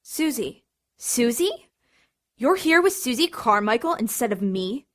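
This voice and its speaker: A sarcastic, lovesick teenage girl from an audio drama. Her voice is high and swings widely, with theatrical flair as she needles everyone around her. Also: big pitch swings, unhurried, fairly bright tone. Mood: bitter.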